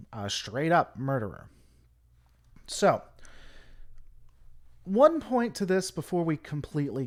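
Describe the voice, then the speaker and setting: Male streamer, conversational, opinionated, lively pitch, middling register. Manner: rambling, reads and answers chat; opinionated critique. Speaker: livestream host. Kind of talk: casual livestream commentary.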